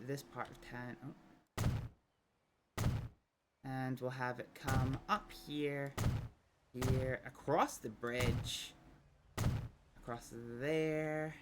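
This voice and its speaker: A Scottish man streaming a city-building game, thinking aloud in a rambling way with many pauses and ums while he chats with viewers. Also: medium pitch, noisy background. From Scotland. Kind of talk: casual gameplay livestream commentary.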